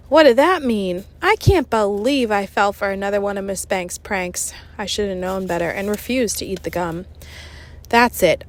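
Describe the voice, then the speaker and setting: A woman reading a children's book aloud in an expressive, conversational narrating style. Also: medium pitch. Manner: animated, conversational. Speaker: storybook reader.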